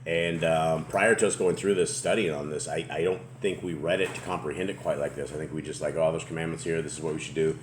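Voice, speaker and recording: Man, very deep booming voice, rambling casual talk, faint background noise. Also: steady tone, quick pace, hardly any pauses. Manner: rambling, restarts often. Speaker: host. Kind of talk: casual Bible study chat.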